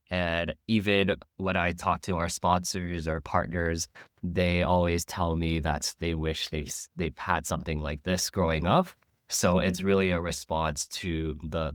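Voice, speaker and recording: A man with a very low voice, nasal from a cold, speaking steadily into a video call in a dry, close-sounding room. He describes his nonprofit's work on a webinar panel, with plenty of "uh"s along the way. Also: dry recording. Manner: steady, informative, frequent uh fillers. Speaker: nonprofit co-executive director.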